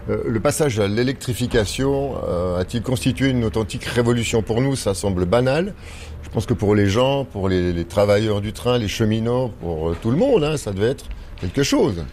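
A man's voice: on the low side, lively intonation, moderate pace, a little mellow, slight background noise. A man hosting a casual interview show, teasing his guests with playful mock-formal turns of phrase. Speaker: radio show host.

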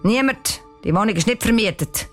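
A woman voicing a gruff, scolding male building caretaker in a children's audio story. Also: on the high side, lively intonation, normal speed, a bit bright, faint music behind, voice pushed up. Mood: curt.

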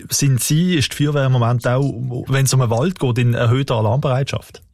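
A man's voice: mid-range voice, fairly even intonation, a little fast, darkish tone, dry recording. This is a man, working as a radio reporter. He sounds conversational but probing as he follows up on the answers. His voice sits in a middle range, recorded close and dry. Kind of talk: radio interview questions.

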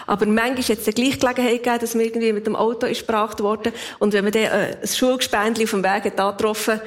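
A woman's voice: fairly high pitch, little pitch movement, racing. A woman in her fifties with a Swiss accent, telling personal stories in a casual, reflective way with an easy laugh. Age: 50s. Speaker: interview guest.

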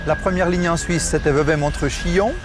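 A man with a notably high voice narrates in a measured, factual way. Loud music plays under him, with traffic sounds outdoors. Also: fairly even intonation. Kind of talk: explanatory narration.

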